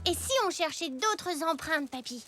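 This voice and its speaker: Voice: sits high, lively intonation, moderate pace, over music. An actor voicing a curious, cheerful little zebra boy in a children's cartoon, speaking in an eager, wondering way. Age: child character. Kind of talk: French children's cartoon dialogue.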